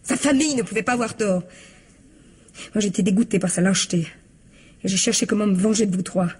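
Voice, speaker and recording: An actress playing a bitter, vengeful wife accused of murder in a French radio crime drama, husky-voiced and defiant. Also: female voice, mid-range voice, brisk, a little mellow. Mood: bitter confession. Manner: indignant, defiant. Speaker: actress in an audio mystery play.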